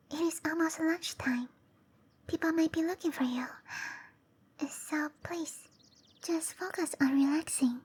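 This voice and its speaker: Woman acting a high, whispery, shy dryad girl. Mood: shy, caring. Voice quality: breathy whispering. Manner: hesitant, bashful, softly spoken. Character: shy, gentle young female cherry blossom tree dryad. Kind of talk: roleplay ASMR, intimate and slow.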